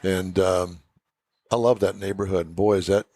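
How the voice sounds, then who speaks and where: A man's voice: low-pitched, fairly even intonation, measured pace, darkish tone, no echo. A middle-aged man hosting a radio show, chatting casually and joking with his guest. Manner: joking, teasing.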